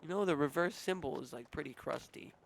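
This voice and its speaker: A man's voice: a little high, a little fast, gravelly, slight background noise. A young adult man with a nasal, whiny voice, rambling casually and making crude jokes while streaming a game.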